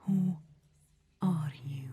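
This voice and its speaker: A surly goblin leader blocking a gate, put on by a woman. The whisper drops lower and quieter than the narrator's, slow and breathy. Every answer is short and dismissive. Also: exaggerated voice. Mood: hostile. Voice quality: whispered, curt. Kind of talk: ASMR audio roleplay.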